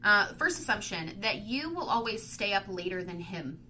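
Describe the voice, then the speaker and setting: Bright chatty woman in her twenties. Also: mid-range voice, big pitch swings, normal speed, a little hiss or hum. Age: 20s. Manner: chatty, fills speech with "like". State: congested from sinus trouble. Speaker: podcast co-host. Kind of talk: casual chat.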